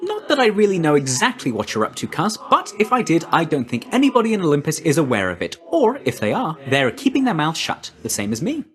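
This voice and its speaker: An actor playing a quick, chipper, cheeky young messenger god in a video game, with breezy, chummy, informal patter. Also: male voice, fairly high pitch, wildly swooping pitch. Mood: breezy, gossipy. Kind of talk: video game character voice lines.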